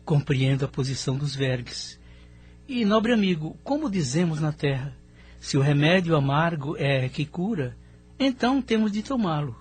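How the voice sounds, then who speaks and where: A man playing a courteous, earnest spirit student in an audio drama, with a husky voice and formal manner. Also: a little high, animated, normal speed, darkish tone. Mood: earnest, respectful. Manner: formal, deferential.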